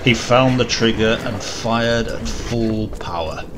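A man giving a dramatic reading of a horror story, often dropping to a hushed whisper. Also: medium pitch, takes it slowly, loud music behind the voice. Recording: loud steady hiss behind the voice.

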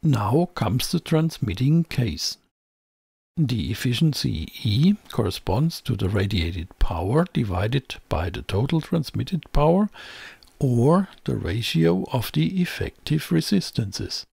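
A man reading scripted technical narration as a tutorial presenter, in a measured, explanatory way. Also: medium pitch, big pitch swings, gravelly, darkish tone, husky, no room sound, clean studio sound. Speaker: presenter of an electronics tutorial channel.

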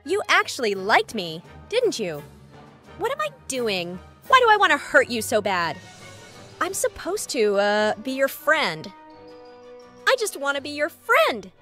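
A woman's voice: sits high, wildly swooping pitch, a little slow, bright, forceful tone, soft background music, exaggerated voice. A woman voicing a moody purple cartoon cat in a comic dub, theatrical and swinging between sweet and snappish. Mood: bitter, brooding. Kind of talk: animated comic dub acting.

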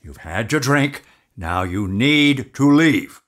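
An actor playing an evasive, placating man with a secret in an audio drama, speaking hesitantly and deliberately. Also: mid-range voice, animated, fairly bright tone. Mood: firm, agitated. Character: evasive, soothing husband hiding an uncanny secret.

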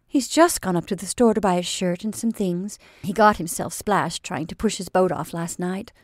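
A woman voicing a shy, gentle middle-aged woman who speaks hesitantly and softly. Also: lowish voice, normal speed, no room sound, clean studio sound, lightly acted. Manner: hesitant, gentle, sometimes breathy.

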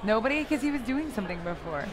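A woman in her thirties hosting a live interview at a convention booth, chatty, bubbly and loud, on a lapel mic. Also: a little high, very animated, fast talker, crowd noise. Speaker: host. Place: booth at a busy convention hall.

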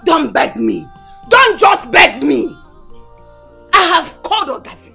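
Casting: middle-aged Nigerian mother-in-law, very high voice, swooping and aggrieved, film melodrama. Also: big pitch swings, measured pace, gravelly, heavily acted. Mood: furious. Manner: loud wailing, repeating herself. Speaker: actor. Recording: loud music over film dialogue.

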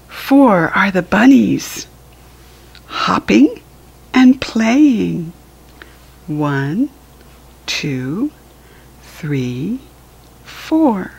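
An American woman reading a counting book aloud to young children as a teacher, in an encouraging, sing-song, deliberate way. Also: medium pitch, very animated, vocal fry, a little mellow, a little hiss or hum, low-quality recording.

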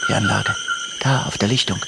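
A man's voice: fairly low pitch, fairly even intonation, moderate pace, creaky, over loud music. A man playing a practical, curious expedition companion in a science fiction radio drama, speaking tersely. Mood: matter-of-fact.

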